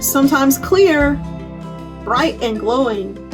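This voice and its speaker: A woman's voice: sits high, lively intonation, takes it slowly, music in the background. A woman hosting a children's storytime, explaining simply and reading a picture book aloud for young listeners. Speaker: children's librarian, storytime host.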